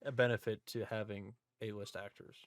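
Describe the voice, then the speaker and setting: A man's voice: on the low side, little pitch movement, a little fast, no room sound. A man hosting a casual podcast discussion, speaking informally and steering the conversation along.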